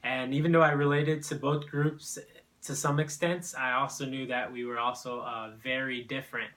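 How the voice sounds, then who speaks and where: A man's voice: medium pitch, little pitch movement, moderate pace, a bit bright. A young man from Brazil, telling his own immigration story in an unscripted, hesitant way full of fillers and restarts. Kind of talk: personal testimony, unscripted.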